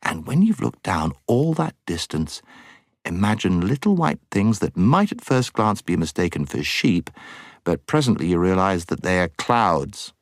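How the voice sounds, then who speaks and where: A man narrating a storybook as an audiobook reader, with dramatic, measured phrasing. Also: low-pitched, sing-song, no echo, studio quality.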